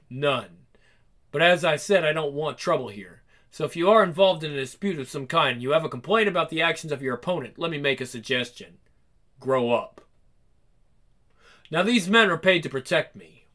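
A smug, composed man of power, voiced by a male comic reader. He talks formally and with cold condescension, in a bright, firm voice that sits lower than a shout and never loses control. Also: lively intonation, normal speed.

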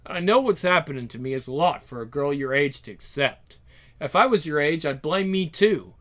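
Guy doing a kind old grandpa in a story, gentle and loving, talks tender. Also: medium pitch, lively intonation, moderate pace, natural voice. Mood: tender, regretful.

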